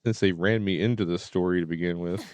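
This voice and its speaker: Low-voiced game streamer. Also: steady tone, quick pace, a little mellow, no room sound. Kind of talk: casual live stream chat.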